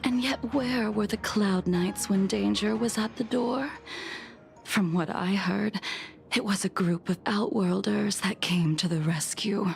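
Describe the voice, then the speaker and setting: A haughty game swordswoman with a slow, creaky delivery. She sounds calm and faintly mocking, with soft music behind her. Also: mid-range voice. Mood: calm, taunting. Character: calm, haughty, battle-loving swordswoman, taunting and unhurried. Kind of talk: scripted video game dialogue.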